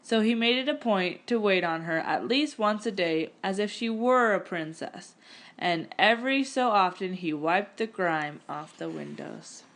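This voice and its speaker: A woman narrating a picture-book story aloud in an expressive, scripted storytelling style. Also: medium pitch, unhurried.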